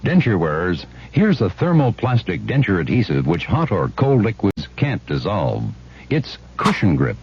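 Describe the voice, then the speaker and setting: A male announcer gives a radio sales pitch with very animated intonation. His lowish voice is husky, and the old recording is muffled. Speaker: advertising announcer. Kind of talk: scripted radio commercial pitch.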